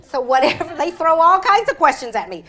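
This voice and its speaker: Animated woman author telling stories. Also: very high-pitched, a little fast. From Vietnam. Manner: animated, laughing, acts out quoted voices. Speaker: author guest. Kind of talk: live Q&A storytelling.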